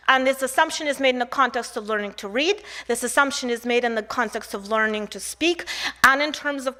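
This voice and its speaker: High, bright-voiced woman with a Russian accent. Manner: conversational, uses rhetorical questions and tag "right?". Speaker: researcher presenting at a conference. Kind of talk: conference lecture.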